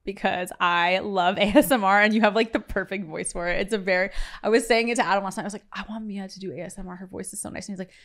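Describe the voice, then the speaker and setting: A young woman hosting a podcast, chatting casually in a decorated studio with a boom microphone, relaxed and full of fillers. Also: fast talker, hardly any pauses. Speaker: podcast host. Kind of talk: casual chat. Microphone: studio mic on boom arm.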